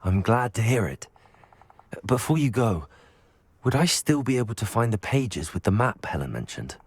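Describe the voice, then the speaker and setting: Low-voiced, composed young wizard. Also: male voice, sing-song, moderate pace, breathy edge. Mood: earnest, questioning. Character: composed, inquisitive young male wizard student adventurer. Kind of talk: video game voice acting.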